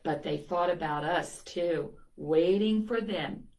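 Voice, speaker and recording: A woman's voice: lowish voice, slow, a little mellow, poor audio. A woman reading a picture book aloud to children, telling it expressively and adding little asides to her young listeners. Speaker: children's storytime reader.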